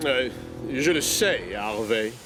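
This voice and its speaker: A man's voice: mid-range voice, expressive, takes it slowly, background noise. An actor playing a cocky, bossy, eager male tank engine in a children's cartoon dub, boastful and emphatic. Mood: bossy, assured. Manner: boastful, emphatic, self-assured.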